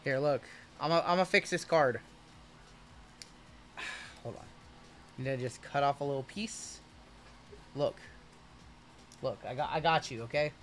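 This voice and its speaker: A man hosting a livestream card break, calling out pulls with hype and jokes, his voice wearing hoarse. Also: mid-range voice, measured pace, long pauses, a bit bright, slight background noise. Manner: hyped, joking, calls out cards as they are pulled. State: voice going hoarse from shouting.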